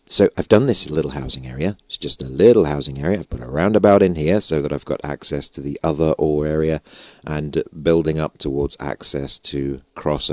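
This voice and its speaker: British male gamer, hoarse and gravelly, very low, self-mocking rambler, dry sound. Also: dry recording. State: hoarse, gravelly voice after losing it. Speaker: gaming YouTuber. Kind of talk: casual let's-play commentary. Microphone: stage vocal mic close up.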